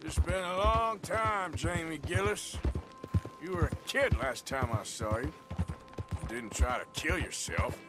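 An actor playing a gruff, protective American outlaw in a Western video game, with a folksy, plain way of talking. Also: male voice, on the high side, lively intonation, unhurried, loud music behind the voice. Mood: wry, exasperated. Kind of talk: dramatic video game acting.

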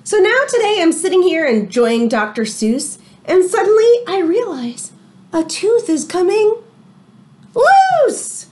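Anxious small boy for rhyming picture book played by a woman: high, wildly varied pitch, dramatic, easily horrified. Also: very animated, darkish tone, full character voice. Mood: horrified, panicking. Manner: dramatic, drawn-out words, rising alarm.

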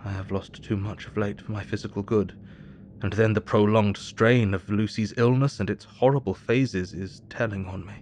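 Actor as a low-voiced Victorian doctor. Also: male voice, fairly even intonation, darkish tone, a little hiss or hum, close to an everyday voice. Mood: exhausted. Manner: measured, formal. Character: weary male Victorian asylum doctor keeping a diary. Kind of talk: audio drama diary narration. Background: music.

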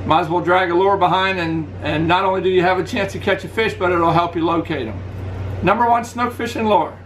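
High-voiced middle-aged man reviewing lures. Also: brisk, a little hiss or hum, rough recording. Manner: relaxed, practical, shows lures to camera. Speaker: fishing channel host. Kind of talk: casual gear review. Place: indoors, living room at home.

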